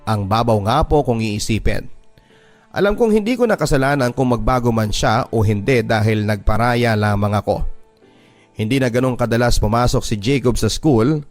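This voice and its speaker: A man's voice: mid-range voice, normal speed. A man with a Filipino accent narrating a first-person story as a scripted radio drama. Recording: music behind the voice. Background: background music.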